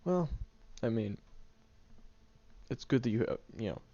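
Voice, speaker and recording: A man voicing a grumpy tsundere teenage boy who is gruff but caring, grumbling, sighing and muttering half-whispered asides in an audio roleplay. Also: medium pitch, lively intonation, measured pace, mellow, muffled recording. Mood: gruff concern.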